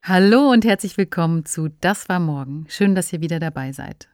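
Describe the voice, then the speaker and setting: A woman's voice: fairly low pitch, animated, normal speed, darkish tone, clear, resonant tone, no room sound. A woman presenting a podcast as host with a scripted introduction. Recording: dry studio sound over music. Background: faint music.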